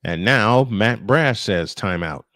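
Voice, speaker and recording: A man's voice: lowish voice, animated, slow pace, fairly bright tone, no room sound. A man calling live baseball play-by-play as a sportscaster. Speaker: play-by-play commentator on a live stream.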